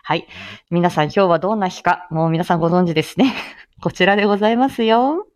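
A woman's voice: on the low side, fast, a little mellow. A woman hosting a live audio stream with casual announcements in a playful, giggly, polite manner.

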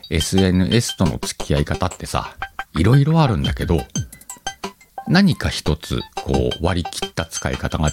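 Deep-voiced, animated male podcast host. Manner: informal, playful, thinking aloud. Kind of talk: casual solo chat. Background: music.

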